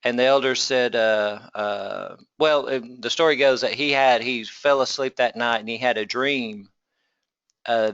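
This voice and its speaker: A man's voice: mid-range voice, a bit bright, no echo, highs cut off. A man teaching a class in an informal, conversational lecture style, explaining things and posing rhetorical questions. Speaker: teacher of a Bible survey class.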